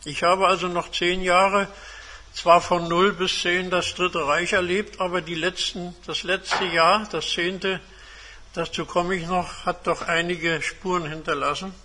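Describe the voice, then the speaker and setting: A man of seventy-five giving a measured, formal autobiographical talk to a seminar as a guest speaker. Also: high-pitched, little pitch movement, sharp tone, slight background noise. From Germany. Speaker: guest speaker, retired engineer and academic. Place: seminar room.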